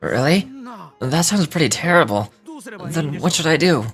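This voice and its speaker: A man's voice: on the high side, expressive, moderate pace, fairly bright tone, faint music behind. An actor playing a discontented but earnest middle-aged workman in a game, hesitant and plainspoken. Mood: glum, hesitant. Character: weary working family man, discontented but earnest. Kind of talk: scripted game character dialogue.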